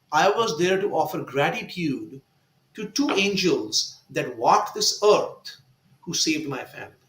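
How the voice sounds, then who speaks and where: A man's voice: a little high, fairly bright tone, echoey room, poor audio. A man telling a personal story in a panel discussion, emphatic and fond of rhetorical asides. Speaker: guest speaker.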